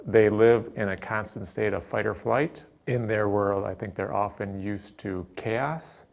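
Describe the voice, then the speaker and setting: A man with a low, creaky voice speaks very slowly and deliberately, as in an interview. The recording sounds slightly dull and muffled. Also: steady tone. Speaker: youth nonprofit worker. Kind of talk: measured interview explanation.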